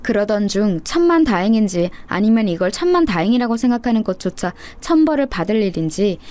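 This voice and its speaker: A woman's voice: mid-range voice, mellow. A woman reading a scripted first-person story as a narrator in a radio-story style.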